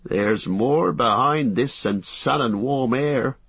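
A man's voice: mid-range voice, slow, creaky, fairly bright tone, slightly rough, no room sound, heavily acted. Man acting a dogged, rustic hobbit manservant. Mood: suspicious, muttering. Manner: muttering, dogged, deferential, rustic grammar. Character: worried, loyal, plain-spoken rustic hobbit manservant. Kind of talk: acted audiobook dialogue.

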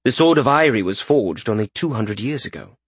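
A man's voice: medium pitch, expressive, brisk, husky, no echo. A man voicing a cool, calculating warrior negotiator in a fantasy audiobook, formal and controlled. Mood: cautious, questioning.

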